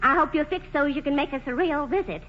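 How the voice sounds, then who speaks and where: A woman's voice: high voice, fast, close to an everyday voice. An actress playing a plain-spoken, folksy small-town woman in a 1940s radio play. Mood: chatty, homespun. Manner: folksy, homely turns of phrase. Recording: muffled, hissy old radio broadcast.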